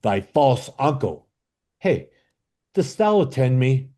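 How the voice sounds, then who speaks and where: A man playing a bitter, commanding exiled duke and father in an amateur Shakespeare reading, with a declamatory, halting delivery. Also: mid-range voice, sing-song, warm tone. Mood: stern, recounting. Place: online video call.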